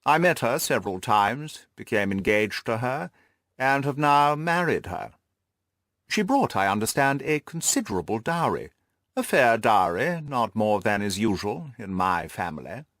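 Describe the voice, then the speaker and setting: A man playing a haughty, reserved aristocratic lord with a pompous, measured way of talking in an audiobook. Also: mid-range voice, very animated, a bit bright, dry recording, clean studio sound. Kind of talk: audiobook character dialogue.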